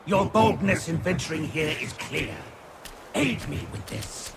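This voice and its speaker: An actor playing a commanding, scheming warlord in a video game, speaking in a theatrical, formal, archaic way. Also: male voice, on the high side, expressive, unhurried, gravelly. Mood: grim, solemn. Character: commanding, scheming warlord seeking an ally against a foe. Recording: loud music under the voice.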